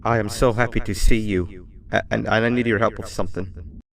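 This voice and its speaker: A man playing a trapped stranger asking for help in an amateur game-based drama, halting and grateful. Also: lowish voice, fairly even intonation, natural voice. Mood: anxious, relieved. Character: trapped man pleading for help over security cameras.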